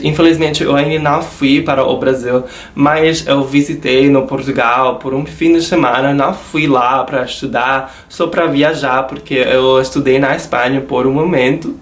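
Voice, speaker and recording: A man's voice: mid-range voice, steady tone, normal speed. Chatty young American man, echoey room. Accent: American English. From United States. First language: English. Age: young adult. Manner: chatty, keeps addressing viewers as "galera". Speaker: youtuber. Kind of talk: casual vlog talk to camera. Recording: echoey room with faint guitar music.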